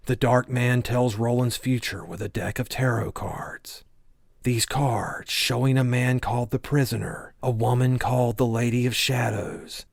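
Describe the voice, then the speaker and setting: Male audiobook narrator, mid-pitched with vocal fry, solemn fantasy storytelling, dry sound. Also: no room sound. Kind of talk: scripted audiobook narration of a fantasy novel recap.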